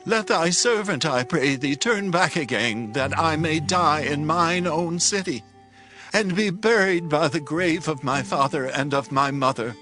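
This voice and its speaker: A man's voice: a little high, measured pace, bright, forceful tone, soft background music. An actor playing a humble, weary man of eighty in a dramatized Bible audiobook, pleading in archaic scriptural phrasing. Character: humble, weary eighty-year-old man declining royal reward.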